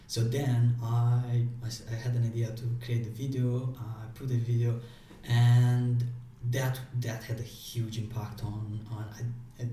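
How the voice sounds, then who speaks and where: A man with a mellow, flat voice and a non-native way of speaking, pausing and restarting often as he answers interview questions. He is heard from some distance in a very echoey room, with a faint hiss underneath. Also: mid-range voice. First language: not English. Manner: halting, many restarts and fillers. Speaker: guest.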